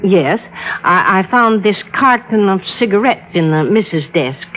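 A woman's voice: mid-range voice, expressive, a little slow. An actress playing a deferential, fussing, worried cleaning woman in a vintage radio drama. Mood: concerned, deferential. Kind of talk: 1940s-50s radio drama acting. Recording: muffled old radio recording.

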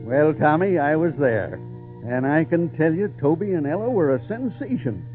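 A man's voice: on the high side, expressive, normal speed, natural voice. A male actor playing a storyteller who narrates an adventure tale in old radio drama style. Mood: narrating. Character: male storyteller recounting circus adventure to a boy. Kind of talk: dramatic narration, 1940s-50s radio acting. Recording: tinny old radio recording with music.

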